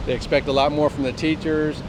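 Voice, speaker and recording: A man's voice: level tone, brisk. A man explaining things conversationally as an interview guest. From Southern California, USA. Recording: clear outdoor rumble and wind noise.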